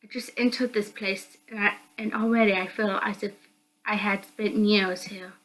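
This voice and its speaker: A woman's voice: medium pitch, fairly even intonation, normal speed, a bit bright, low-quality recording. A woman reading a visual novel game aloud as dramatic narration. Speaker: host of a visual novel read-through. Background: background music.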